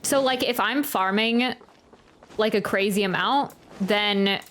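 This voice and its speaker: A woman's voice: medium pitch, slow, fairly bright tone. A woman giving casual, chatty reaction commentary as a game streamer, with rambling, jokey asides.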